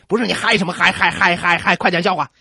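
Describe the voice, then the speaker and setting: A man's voice: high voice, quick pace, sharp tone, husky, no room sound. A man hosting a comedy radio show, telling jokes and bantering in a playful, exaggerated way. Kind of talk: joke-telling banter between songs.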